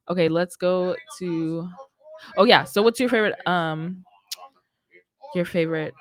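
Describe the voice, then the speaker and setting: A woman's voice: fairly low pitch, a little slow. A young woman, chatting casually as she interviews a guest as a radio host. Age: young adult. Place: small indoor radio studio. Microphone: close studio mic on boom arm, headphones. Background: music playing.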